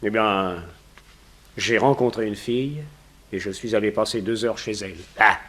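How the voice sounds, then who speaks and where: An actor playing an older, respectable widower who is flustered and evasive, stammering and protesting in a 1960s French radio serial. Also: male voice, on the low side, steady tone, moderate pace, fairly bright tone, lightly acted. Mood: sheepish. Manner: stammering, hesitant, indignant protests.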